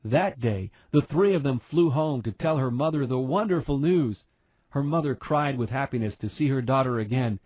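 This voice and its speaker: A man's voice: medium pitch, animated, moderate pace, a little mellow, no echo, low-quality recording. A man narrating a fairy tale as a scripted storyteller.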